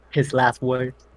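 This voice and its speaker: A man's voice: mid-range voice, noisy background. A man from Vietnam in casual chat, playful and jokey with his co-host.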